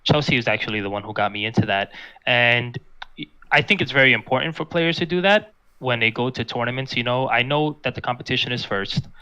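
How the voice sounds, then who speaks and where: A young man speaking as a guest in a casual interview, talking in a flat, monotone way with many restarts. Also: medium pitch, a little fast, smooth, lo-fi sound. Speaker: guest, competitive fighting game player.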